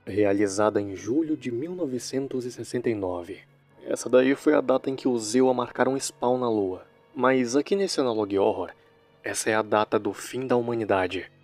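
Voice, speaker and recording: A man's voice: medium pitch, darkish tone. Brazilian man narrating over music. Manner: wry, joking asides. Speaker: narrator of a horror series recap video. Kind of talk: casual storytelling commentary.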